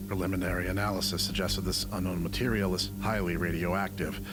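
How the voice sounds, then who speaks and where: Low-voiced, clinical actor as a male researcher. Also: close to an everyday voice. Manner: clinical, formal, measured. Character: detached male researcher reading grim lab findings. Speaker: narrator. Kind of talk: scripted analog horror report narration. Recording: voice-over under clear background music. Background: music.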